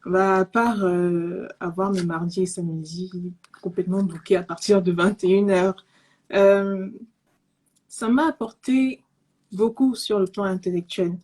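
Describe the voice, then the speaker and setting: Soft-spoken woman, mellow and husky. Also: medium pitch, steady tone, a little slow. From Cameroon, raised in Zimbabwe. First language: English. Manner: soft-spoken, hesitant with many fillers and restarts. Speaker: guest, pastry entrepreneur. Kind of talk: casual online interview. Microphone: remote call microphone.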